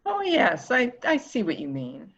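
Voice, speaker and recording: A woman's voice: fairly high pitch, wildly swooping pitch, unhurried, a bit bright, breathy edge, highs cut off, heavily acted. A woman playing an evasive, protective woman in a murder mystery reading, defensive and primly indignant. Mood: uneasy, evasive. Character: evasive, protective woman guarding an excitable boy.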